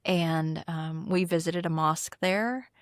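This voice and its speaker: A middle-aged woman from the Philippines, a native Tagalog speaker, talks in an earnest, conversational way, often pausing on "um" and laughing lightly. Her voice sits fairly low. The sound is close and dry, with no room echo. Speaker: guest. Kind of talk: conversational interview.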